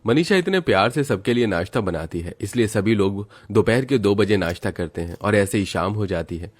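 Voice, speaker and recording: A man with a low-pitched voice delivers scripted story narration. He tells a domestic tale as a storyteller would for a cartoon. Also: lively intonation, brisk.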